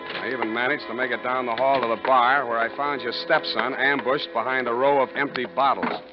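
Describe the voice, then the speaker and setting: A man's voice: mid-range voice, steady tone, fairly quick, lightly acted. A dull old 1940s radio recording with music behind it. A hard-boiled private detective played by a male actor talks in clipped, sardonic lines. He is tough and wry, both telling his story and grilling suspects. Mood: wry, accusing.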